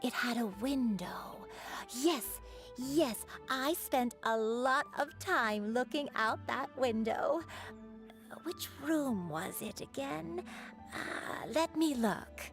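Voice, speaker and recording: A woman playing a gentle, forgetful old granny in a video game, with a wistful, halting delivery. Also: a little high, animated, faint music behind. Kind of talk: video game character voice acting.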